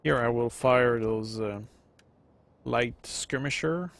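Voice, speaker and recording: A man's voice: mid-range voice, slow, creaky. A man in his thirties with a Quebec French accent, casually commenting on a strategy game and chatting with viewers, rambling with many fillers. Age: 30s. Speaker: gaming video host. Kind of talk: casual let's-play commentary.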